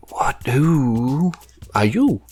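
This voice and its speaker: A woman's voice: a bass voice, very animated, extremely slow, warm tone, husky, soft background music. A woman, role-playing in a tabletop game with an earnest, polite, slightly theatrical manner. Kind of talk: improvised in-character role play.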